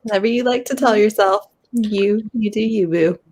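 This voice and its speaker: A woman hosts a relaxed live readalong in an ordinary mid-range voice. She talks bluntly and casually, needling the others in a playful way. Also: medium pitch, moderate pace, a little hiss or hum. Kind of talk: casual group chat about books.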